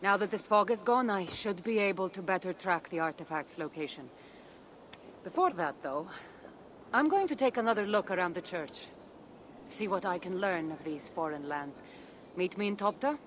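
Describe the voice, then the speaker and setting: An actress playing a confident, guarded female warrior and seasoned artifact hunter in a video game, speaking in a measured, dryly teasing way. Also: lowish voice, expressive, slight background noise, lightly acted. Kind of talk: acted game cutscene dialogue.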